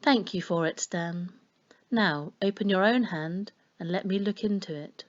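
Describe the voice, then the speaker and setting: A woman voicing a gentle, solemn fortune-teller in a storybook, murmuring slowly and knowingly. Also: lowish voice, dull audio, natural voice. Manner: gentle, murmuring, slow and portentous. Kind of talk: children's storybook reading.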